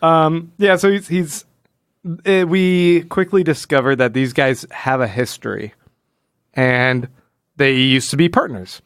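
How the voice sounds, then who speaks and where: Male podcast host, slightly high-pitched and close-sounding; casual, wry, jokey, slips into mock dialogue. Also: unhurried, a bit bright, clear, resonant tone, no echo. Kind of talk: casual comic book discussion.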